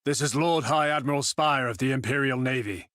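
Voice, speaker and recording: A man playing a resolute, dignified naval high admiral in a video game, formal and commanding. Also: a little fast, fairly bright tone, dry recording, studio quality, natural voice. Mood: dignified resolve. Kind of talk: video game cutscene acting.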